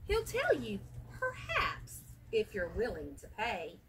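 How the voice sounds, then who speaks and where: A woman reading a children's story aloud in an expressive, theatrical way. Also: mid-range voice, takes it slowly, a little hiss or hum. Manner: theatrical, animated. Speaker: storybook reader.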